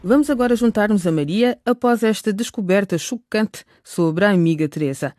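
A woman's voice: mid-range voice, lively intonation, normal speed, darkish tone, dry recording, studio quality. A woman reading scripted narration for a radio drama as its narrator.

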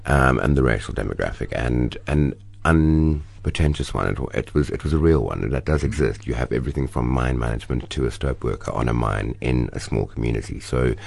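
A middle-aged man with a South African accent speaks in an extremely low, heavily gravelly voice with a husky edge. He recounts his acting career expressively, rising into animated bursts. The sound is dry and close. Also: brisk, few pauses, no room sound. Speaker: actor, guest. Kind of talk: interview conversation.

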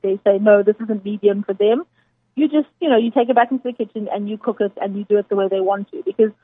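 Woman, South African accent, husky, fairly high-pitched, chatty and emphatic, casual interview, dry narrow phone-like sound. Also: fast, dry recording. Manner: chatty, emphatic, laughs often. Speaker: chef, guest. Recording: dry phone-like radio line.

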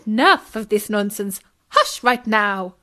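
A woman's voice: mid-range voice, animated, measured pace, sharp tone. A woman doing a stern bossy mother frog. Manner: stern, scolding.

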